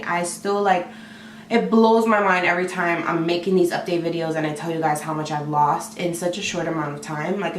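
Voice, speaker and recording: Hoarse woman, casual vlog chat, excitable, fillers, lowish voice, faint background noise. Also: roomy sound, recorded from a distance. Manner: chatty, excitable, many fillers. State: hoarse from a cold. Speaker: vlogger. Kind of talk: casual talk to camera.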